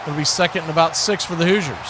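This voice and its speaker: Man, live football play-by-play, fairly high pitch, clipped phrasing, noticeably noisy stream audio. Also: steady tone, very fast. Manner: clipped phrases, raises voice on big plays. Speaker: play-by-play commentator.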